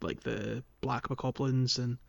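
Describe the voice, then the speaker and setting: A man's voice: mid-range voice, fairly even intonation, a little slow, creaky, highs cut off. A man hosting a podcast, chatting casually and thinking aloud as he goes. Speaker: podcast host. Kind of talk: casual gaming podcast chat.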